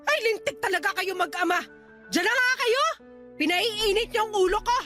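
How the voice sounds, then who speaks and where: A sharp-tongued middle-aged wife in a radio drama. Her woman's voice is very high and cutting, with faint music behind it. She nags and confronts bluntly, her mind fixed on money. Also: moderate pace. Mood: angry. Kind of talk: Tagalog radio drama acting.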